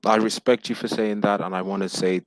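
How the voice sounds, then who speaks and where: Low-voiced earnest man on a video call. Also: little pitch movement, fairly quick, dry recording. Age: 30s. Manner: earnest, formal and polite, says sir. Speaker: panel guest. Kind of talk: casual panel discussion.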